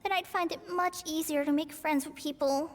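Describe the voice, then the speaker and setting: A woman's voice: high voice, fairly even intonation, normal speed, over music, close to an everyday voice. A woman voicing a shy, earnest, polite young girl with a hesitant manner in a video game. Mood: self-doubting. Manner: hesitant, soft-spoken.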